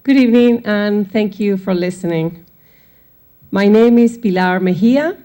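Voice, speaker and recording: A woman's voice: medium pitch, measured pace, warm tone. Argentine woman giving public comment. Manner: earnest, apologetic about her English. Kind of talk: prepared public comment speech.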